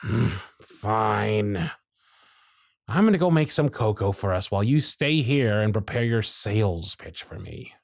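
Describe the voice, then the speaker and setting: A man voicing a jaded, century-old male workshop supervisor who is gruff, weary, sardonic and blunt, in an ASMR roleplay with close miking. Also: on the low side, expressive, unhurried, no room sound. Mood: gruff, softening. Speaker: voice actor in audio roleplay.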